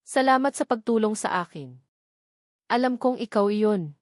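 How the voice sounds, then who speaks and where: A woman's voice: fairly high pitch, lively intonation, moderate pace, no echo, clean studio sound, lightly acted. A woman narrator voicing a tender, anxious young flight attendant in a romance audio story. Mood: grateful.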